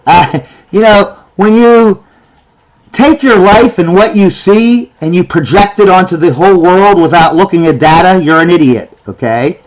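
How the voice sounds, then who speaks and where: A man's voice: sits high, unhurried, low-quality recording. A man giving reaction commentary in a ranting, sarcastic way, often repeating himself.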